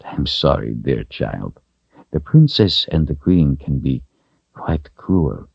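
A man as a gentle old wizard, very deep. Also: wildly swooping pitch, takes it slowly, creaky, slightly rough, no room sound, dull audio, full character voice. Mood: sympathetic. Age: older. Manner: soft-spoken, gentle. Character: kindly old male wizard, gentle, bearded, reassuring.

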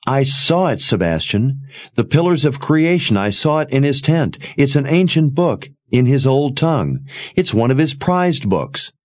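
A man's voice: medium pitch, big pitch swings, a little slow, voice pushed up. A man voicing a frightened, accusing young woman in a fantasy audiobook, raised and strained. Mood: urgent. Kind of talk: audiobook character reading.

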